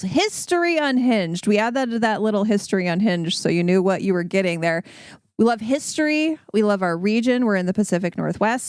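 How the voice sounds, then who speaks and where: Woman, American accent, mid-pitched smooth voice, dry studio-like sound, playful casual co-host. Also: a little mellow, dry recording. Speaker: podcast co-host. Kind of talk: casual podcast chat.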